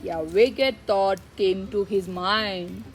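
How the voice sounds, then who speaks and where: A woman's voice: medium pitch, fairly even intonation, slow pace, slight background noise, rough recording. A woman narrating a children's story from a script for a puppet show.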